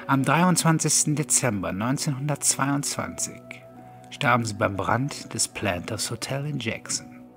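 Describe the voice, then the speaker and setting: A man's voice: mid-range voice, creaky, fairly bright tone. A man narrating a scripted horror story as an audiobook reader. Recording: background music under the voice. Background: music.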